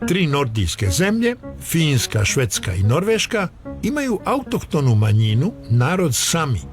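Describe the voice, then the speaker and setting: Lively male documentary narrator over music. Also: on the high side, moderate pace.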